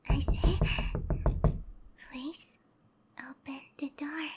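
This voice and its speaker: A young monster-girl lover in a roleplay audio drama, sweet on the surface and dangerously possessive. Her voice is very high, soft and whispery, drawn out at an unhurried pace. Background noise is clearly audible behind her. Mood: pleading, hurt. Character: sweet, clingy, obsessive young female snake-monster girlfriend. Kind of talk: roleplay ASMR acting.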